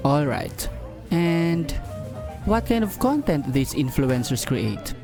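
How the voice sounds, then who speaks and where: A man's voice: fairly high pitch, big pitch swings, slow, over music, heavily acted. A man playing an office boss with a Filipino accent in a romantic audio drama, businesslike but awkwardly flirtatious. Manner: businesslike, with smitten slips he covers up. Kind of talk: romantic audio drama acting, Tagalog-English mix.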